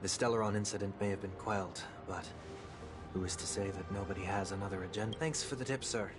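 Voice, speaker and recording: Man acting a composed, formal, low-voiced enigmatic gentleman. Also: fairly even intonation, over music, lightly acted. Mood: calm, guarded. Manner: formal, measured, archaic phrasing. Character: composed, courteous, enigmatic gentleman in disguise, quietly wistful. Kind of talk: video game voice acting.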